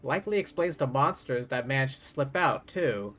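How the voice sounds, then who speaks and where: Male game streamer, mid-pitched voice. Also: expressive, normal speed, fairly bright tone. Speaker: livestreamer. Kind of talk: game stream, reading dialogue aloud with casual asides. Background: game music.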